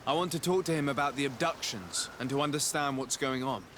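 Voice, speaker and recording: An actor playing an earnest, courteous diplomat in a fantasy video game, polite and assured. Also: male voice, medium pitch, fairly quick, a bit bright, a little hiss or hum, lightly acted. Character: young male diplomat legate, earnest and courteous.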